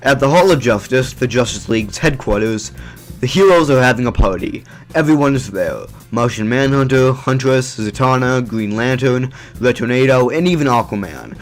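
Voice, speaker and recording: A man's voice: mid-range voice, lively intonation, normal speed, soft background music. Man narrating an amateur audio drama.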